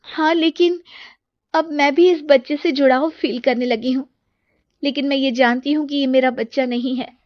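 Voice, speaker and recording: An earnest, struggling young mother from a cartoon moral tale, voiced by a woman. Her voice sits high and sounds plaintive but resolute. The recording is dry and muffled. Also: steady tone, normal speed, no echo, natural voice. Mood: wistful. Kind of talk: cartoon moral story dialogue.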